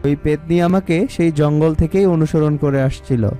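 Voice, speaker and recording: A man's voice: fairly high pitch, moderate pace, warm tone, faint music behind, lightly acted. A man playing a practical, reproachful village husband in a cartoon. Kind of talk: cartoon dialogue.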